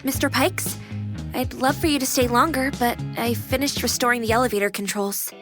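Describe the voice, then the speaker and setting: A woman's voice: a little high, fairly quick, lightly acted. A woman voicing a playful, caring female AI companion with a girlish anime persona in a video game, chirpy, teasing and polite. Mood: helpful, teasing. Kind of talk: scripted video game voice acting. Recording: music behind the voice.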